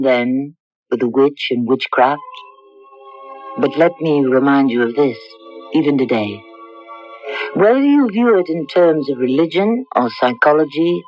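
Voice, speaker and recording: A woman hosting a radio drama, narrating a script in a measured, formal manner. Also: low-pitched. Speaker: host and narrator. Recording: dull lo-fi radio recording over music. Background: music.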